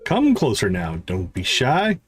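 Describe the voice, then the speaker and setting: A man voicing a mysterious, smug video game character in a measured, teasing, theatrical way. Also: mid-range voice, huge pitch swings. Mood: sly welcome. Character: mysterious, smug male game character praising a kid adventurer. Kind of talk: reading video game dialogue aloud.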